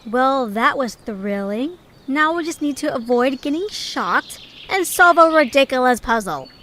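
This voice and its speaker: Woman as a high-voiced bossy young female leader. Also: lively intonation, unhurried, fairly bright tone, slight background noise. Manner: commanding, boastful. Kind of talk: audio drama acting.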